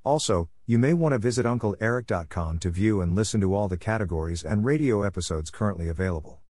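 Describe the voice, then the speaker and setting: A man's voice: fairly low pitch, expressive, fast, a little mellow, no echo. A man hosting a classic radio podcast, reading his introduction and a sponsor message in a friendly, earnest way. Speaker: podcast host.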